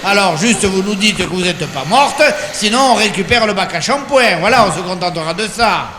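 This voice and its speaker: A man's voice: high-pitched, a little fast, bright, forceful tone, long reverb tail, a little hiss or hum. A man playing a bossy, exasperated woman salon owner, in a live radio comedy sketch. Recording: live radio with audience laughter.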